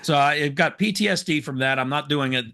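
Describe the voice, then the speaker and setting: A hoarse man with a bright, forceful, slightly high voice tells rambling stories about his own bad luck. He co-hosts a relaxed talk show with friends and chats casually. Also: quick pace. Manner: rambling, self-deprecating storyteller. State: hoarse from smoke inhalation. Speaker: co-host. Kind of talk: casual chat, storytelling.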